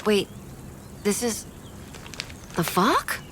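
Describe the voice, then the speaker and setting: A guarded woman in an audio drama who doesn't buy what she hears. She is blunt and clipped, protective and skeptical, in a medium-pitched voice over faint noise. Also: very animated, very slow, a bit bright, full character voice. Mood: shocked. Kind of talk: naturalistic audio drama acting.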